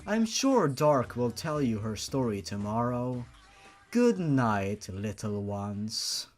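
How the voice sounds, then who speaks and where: A performer voicing a gentle aunt who tells a bedtime story, with slow, deliberate delivery, as in a dramatic reading of fan fiction. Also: mid-range voice, very animated, soft background music, pitched below the performer's own voice. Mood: soothing.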